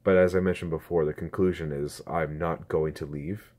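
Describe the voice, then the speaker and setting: Deep-voiced young man, earnest and halting. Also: steady tone, a little mellow. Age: 20s. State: strained throat. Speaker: YouTuber. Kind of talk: casual talk to camera. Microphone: phone camera.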